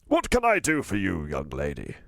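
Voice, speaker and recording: A man's voice: sits low, huge pitch swings, normal speed, creaky, no room sound, studio quality, voice pushed down, full character voice. A man voicing a courteous, proud old tramp with a posh English accent in a storybook reading. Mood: wary, polite.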